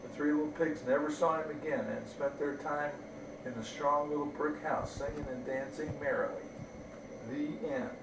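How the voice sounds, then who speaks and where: An older man reads a children's bedtime story as a grandfather would. His voice sits a little high for a man. It is picked up from some distance in an echoey room, with faint noise. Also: steady tone, moderate pace. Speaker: grandfather reading bedtime stories. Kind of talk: storybook read-aloud to young children.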